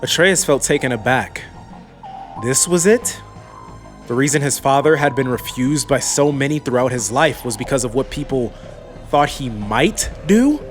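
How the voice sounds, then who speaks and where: A man narrates a fantasy audiobook in a voice of average pitch. It is scripted storytelling, set over clearly audible music. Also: animated, normal speed.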